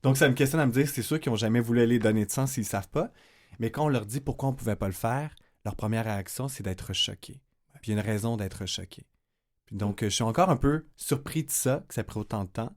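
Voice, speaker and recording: A man's voice: mid-range voice, fast talker, a little mellow. A man speaking earnestly as a guest on a radio panel. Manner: earnest, emphatic. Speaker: panel guest, community director. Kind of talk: round table discussion.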